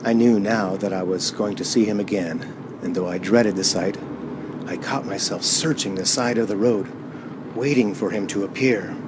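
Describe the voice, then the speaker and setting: A man's voice: fairly low pitch, normal speed, very noisy, voice pushed down, close to an everyday voice. A man playing an anxious, haunted driver who narrates his dread tensely and hesitantly in a radio play. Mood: brooding dread. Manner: tense, hesitant, dramatic repetition.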